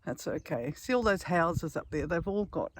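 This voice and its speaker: A man's voice: on the high side, lively intonation, moderate pace, no room sound. A man from New Zealand chatting casually to camera as a travel vlogger on a walk, musing aloud in short phrases. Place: outdoors at a beach. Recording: outdoor noise, faint clicks.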